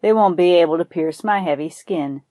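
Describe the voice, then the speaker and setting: A woman's voice: lowish voice, moderate pace, warm tone, dry recording, close to an everyday voice. Storybook buffalo, played by a woman: long-suffering male, proud, stubborn, emphatic challenger in a dry recording. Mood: challenging.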